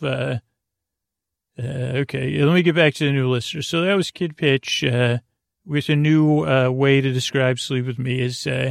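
A man with a creaky, fry-laden voice improvises a bedtime monologue as a podcast host. He speaks at a middle pitch, gently and soothingly, wandering, pausing and doubling back on his words. The sound is dry, with very little room. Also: no echo. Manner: meandering, halting, trailing off and repeating words; gentle, soothing address to the listener.

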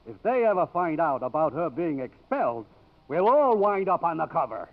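A man's voice: on the high side, sing-song, normal speed. A man playing an agitated, blustering college board chairman with an exasperated manner in 1950s radio comedy style. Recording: tinny old radio broadcast recording.

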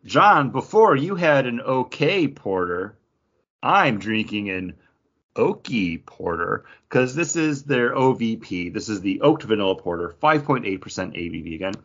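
A man hosts a podcast in a loose, conversational way. His voice sits low and has a husky grain, and the recording sounds slightly dull. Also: very animated, unhurried, a bit bright. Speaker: podcast host. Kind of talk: casual chat.

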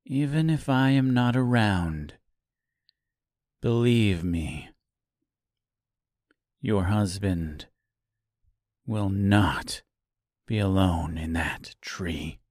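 A man voicing a wicked witch in the shape of an eerie girl, speaking with precise diction and calm, drawn-out menace in a storybook reading. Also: sits low, dry recording, studio quality, heavily acted. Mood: cold, menacing.

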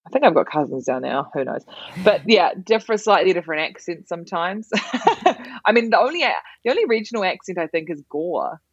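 Chatty New Zealand woman, low voice. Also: animated, brisk, husky, no room sound, dull audio. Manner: chatty, laughs often, many restarts. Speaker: guest, comedian. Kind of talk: casual podcast interview.

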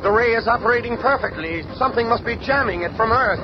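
Casting: earnest subordinate alien, high voice, radio drama on a muffled old recording. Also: male voice, fast talker, a crowd in the background, noisy background. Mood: baffled, defensive.

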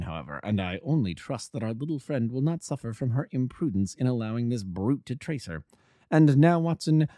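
A man plays a composed gentleman detective from a classic storybook. He is low-voiced and mellow, unflappable and quietly wry, speaking in a dry, close recording. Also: no room sound. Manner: bland, imperturbable, quietly wry. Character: calm, amused gentleman detective, male.

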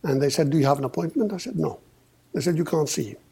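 A man in his fifties from Greece, a podcast guest telling his story with many pauses and restarts. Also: a little high, creaky, dark tone, slightly rough. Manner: hesitant, many uhs and restarts. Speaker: podcast guest, company founder. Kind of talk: casual storytelling interview.

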